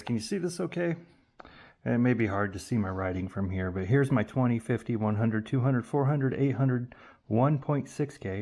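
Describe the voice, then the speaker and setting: A man's voice: medium pitch, a little mellow. A man hosting a casual hands-on tutorial, explaining informally and thinking aloud, often correcting himself as he goes. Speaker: YouTube host demonstrating vintage audio testing.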